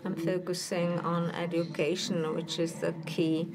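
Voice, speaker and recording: A woman giving a formal conference talk remotely over a video call, heard amid a loud audience. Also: fairly low pitch, level tone, a little slow. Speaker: speaker joining a conference remotely. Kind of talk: conference presentation. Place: hospital room, speaking into a conference hall.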